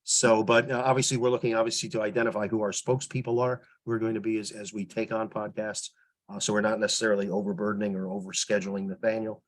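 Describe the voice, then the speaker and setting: Male volunteer PR lead, fairly low voice, informal online meeting report, meandering and halting delivery. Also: little pitch movement, poor audio. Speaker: public relations volunteer. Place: teleconference call.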